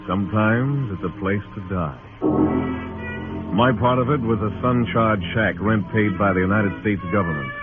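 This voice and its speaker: Actor as a low-voiced hard-bitten marshal. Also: male voice, close to an everyday voice. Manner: laconic, dry, brooding. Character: hard-bitten, world-weary male US marshal in Old West. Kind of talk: 1950s radio western narration and acting. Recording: tinny old radio recording, music behind.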